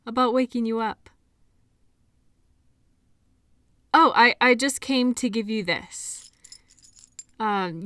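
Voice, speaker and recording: A woman's voice: on the high side, fairly bright tone, smooth, no room sound, clean studio sound. A woman playing a shy, awkward, kindly medical worker in an audio roleplay, talking in a hesitant, rambling, apologetic way. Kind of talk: audio roleplay acting, addressing the listener.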